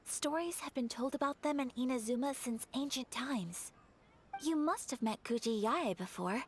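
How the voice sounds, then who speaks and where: A woman acts as a young noblewoman from an anime game, shy, earnest and very courteous. The voice is high and gentle, with formal phrasing. A faint noise runs under the recording. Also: normal speed, close to an everyday voice. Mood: intrigued.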